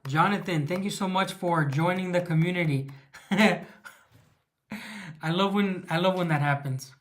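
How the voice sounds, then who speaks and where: A man's voice: high voice, a little slow, a little hiss or hum. A man from Southern California with a Mexican American East Los Angeles accent, casually chatting on his livestream, rambling, restarting words and greeting viewers by name. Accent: Mexican American English from East Los Angeles. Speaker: livestream host.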